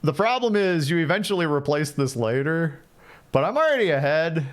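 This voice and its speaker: A man's voice: on the high side, big pitch swings, moderate pace. A man giving casual, playful live commentary on a game, thinking aloud and repeating phrases as he chats with viewers. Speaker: game livestreamer.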